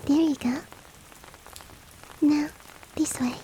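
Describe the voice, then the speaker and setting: A woman voicing a courteous, coaxing monster-girl maid in a soft, breathy, whispery roleplay ASMR style with formal, old-fashioned politeness. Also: high-pitched, steady tone, slow pace, a little hiss or hum, rough recording. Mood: gently insistent. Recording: rain ambience behind the voice. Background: falling rain.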